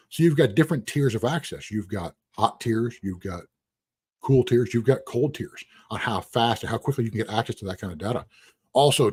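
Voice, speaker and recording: A husky man, losing his voice, teaching a casual live-streamed lecture in a rambling, conversational way with asides. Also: mid-range voice, darkish tone, no room sound, clean studio sound. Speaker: instructor.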